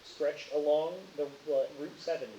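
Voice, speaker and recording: Guy game mastering a tabletop rpg, slightly high voice, echoey room with faint hiss. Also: flat delivery, moderate pace, dark tone. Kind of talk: narrating and refereeing a tabletop game. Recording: muffled, hissy, roomy home recording.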